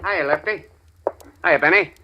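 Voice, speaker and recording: An actor playing a menacing, needling crime boss, casual, slangy and coolly bossy, in a 1940s radio drama. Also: male voice, on the high side, unhurried, highs cut off, very noisy, natural voice. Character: menacing, needling male crime boss who runs the town. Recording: vintage radio recording.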